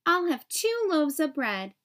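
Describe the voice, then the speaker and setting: Lady doing a fussy storybook guy, easily exasperated, pitched way up, precise and exclamatory. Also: big pitch swings, a little slow, fairly bright tone, full character voice. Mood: eager, precise. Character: fussy, easily exasperated man who loves even numbers. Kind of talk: storybook character voice.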